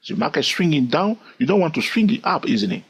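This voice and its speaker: A man's voice: a little high, brisk, slightly rough. A man teaching a swing trading lesson over a screen recording, repeating his points and often checking in with "all right?". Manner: animated, repetitive, keeps asking "all right?". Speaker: trading instructor.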